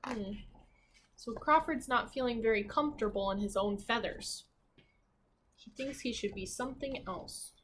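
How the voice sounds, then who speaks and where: A woman teacher reading a children's storybook aloud expressively, pausing to comment and ask questions. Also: on the high side, fairly bright tone, rough recording.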